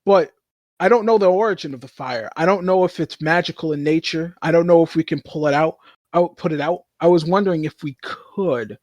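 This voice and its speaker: A man with a fairly high voice talks casually as a player in a tabletop roleplaying game. He is hesitant, rewording himself as he goes, and the recording sounds dry and close. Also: a little fast, darkish tone, no echo.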